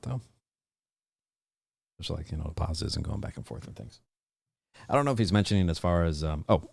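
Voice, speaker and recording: Very deep gravelly man, dry close sound. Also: a little mellow, no room sound. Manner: rambling, hesitant, many fillers and restarts. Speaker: podcast host. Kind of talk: casual livestream chat.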